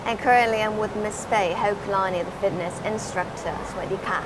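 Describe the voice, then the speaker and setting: A woman's voice: mid-range voice, fairly quick, over loud music, poor audio. A woman hosting a TV interview show, asking questions politely with many "you know" fillers. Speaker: TV show host. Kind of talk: broadcast interview and scripted intro.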